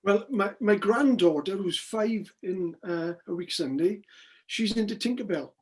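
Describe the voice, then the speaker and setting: A man's voice: high voice, unhurried. An older man interviewing in a casual, chatty style, with a rambling, inquisitive manner.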